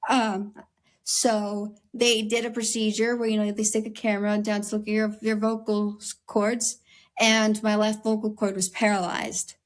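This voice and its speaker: A young American woman, a guest in an interview, speaking candidly in an earnest, conversational way with a slightly raspy voice and many "like"s and "um"s. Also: medium pitch, monotone, moderate pace. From United States. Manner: earnest, heavy use of "like" and "um".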